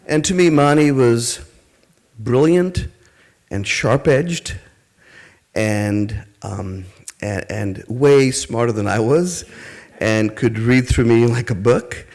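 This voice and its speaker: Middle-aged guy, lowish voice, telling stories about growing up, thoughtful. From India. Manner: reflective, storytelling, with fillers and soft laughs. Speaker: guest speaker. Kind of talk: personal reminiscence talk.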